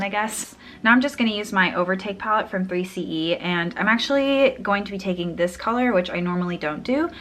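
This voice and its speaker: A woman's voice: on the low side, fairly bright tone, slight background noise. Chatty young woman, a bit sniffly. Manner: chatty, rambling, trails off mid-sentence. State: a little sniffly. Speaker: beauty vlogger. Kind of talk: casual makeup tutorial chat.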